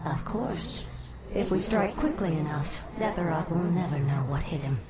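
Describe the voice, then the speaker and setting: Cold, lowish-voiced undead elf queen. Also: animated, moderate pace, very noisy. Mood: calculating. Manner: controlled, imperious. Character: vengeful undead elf queen, female, cold, cunning, commanding. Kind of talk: video game cutscene acting. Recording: game audio under loud music.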